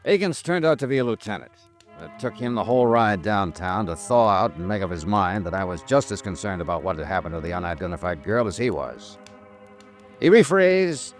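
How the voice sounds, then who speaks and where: An actor playing a terse, sardonic hard-boiled insurance investigator in an old-time radio noir drama, with an American accent and a clipped, wry delivery. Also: male voice, on the low side, big pitch swings, a little fast, soft background music. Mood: wry narrating. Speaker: detective lead and narrator.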